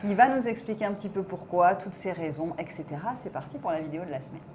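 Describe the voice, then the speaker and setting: A woman's voice: lowish voice, animated, fast talker, darkish tone, slight background noise. A woman hosting her own channel, interviewing a guest in a friendly, chatty way with lots of questions. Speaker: YouTube channel host, interviewer. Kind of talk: casual interview chat.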